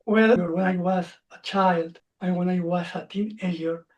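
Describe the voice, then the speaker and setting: A man in his forties, speaking English as a second language, telling his story as a coach guest in a reflective, halting way. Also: sits high, steady tone, far from the mic, muffled recording. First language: not English. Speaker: social anxiety coach. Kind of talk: interview guest, personal story.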